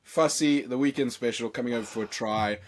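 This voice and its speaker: Hoarse young South African male podcast host, excitable and rambling. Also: mid-range voice, fairly quick. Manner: excitable, rambling, many fillers. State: hoarse from a chest infection. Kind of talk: casual podcast chat.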